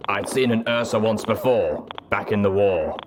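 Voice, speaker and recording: Man doing armored ghoul warrior, low voice, terse orders, audiobook character, clear background noise. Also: moderate pace, lightly acted. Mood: matter-of-fact. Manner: terse, military. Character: gruff commanding male armored ghoul pony soldier.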